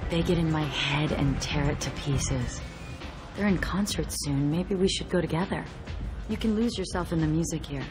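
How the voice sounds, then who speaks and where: Game villain, played by a woman: predatory alien femme fatale, deep female register, slow seductive phrasing, dark and intense. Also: over loud music, natural voice. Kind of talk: video game voice acting.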